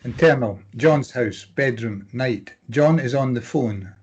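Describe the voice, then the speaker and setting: A man's voice: mid-range voice, measured pace, darkish tone, slightly rough, muffled recording. A man narrating scripted stage directions at a drama table read.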